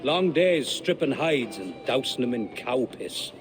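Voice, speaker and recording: An actor playing a friendly, gossipy Irish tanner with an Irish accent in a video game. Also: male voice, mid-range voice, expressive, measured pace, a crowd in the background, natural voice. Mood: chatty. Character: chatty Irish tanner, common townsman.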